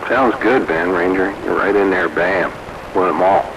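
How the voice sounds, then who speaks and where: A man's voice: low voice, normal speed. A man making casual banter as an operator over CB radio. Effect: CB radio transmission. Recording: very noisy CB radio reception.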